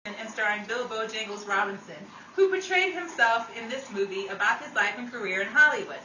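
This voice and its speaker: A woman's voice: fairly high pitch, lively intonation, a little fast, bright, forceful tone. A woman narrating a scripted documentary-style radio program. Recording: roomy, distant mic, noisy background.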